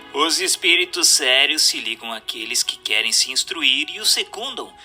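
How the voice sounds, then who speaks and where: A man with a Brazilian Portuguese accent reading a spiritual text as scripted narration, in a formal, measured, didactic way. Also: fairly high pitch, sharp tone.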